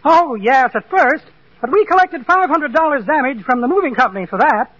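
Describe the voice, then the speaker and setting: A man's voice: extremely high pitch, expressive, fairly quick, a bit bright. An actor playing a small, meek, polite man with a hesitant manner in a 1940s radio comedy. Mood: mild. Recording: dull vintage 1940s radio recording.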